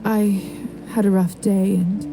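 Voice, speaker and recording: A woman's voice: medium pitch, level tone, takes it slowly, over music, lightly acted. A woman playing an earnest, conscientious young woman newly turned vampire in a video game. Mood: earnest, pensive. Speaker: lead character of a story game.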